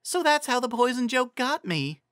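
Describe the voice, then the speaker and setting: A performer voicing an excitable, anxious young female pony in a dramatic fantasy story reading. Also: mid-range voice, normal speed, no echo, clean studio sound, voice pushed up. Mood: forcedly calm. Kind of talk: dramatic fan fiction reading.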